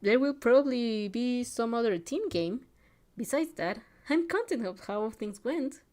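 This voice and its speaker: A woman's voice: fairly high pitch, expressive, unhurried, a little mellow. A woman reading a story aloud, voicing a bubbly, fiercely supportive teenage girl in an exuberant, emphatic, teasing way. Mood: sincere. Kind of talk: character dialogue in amateur story reading.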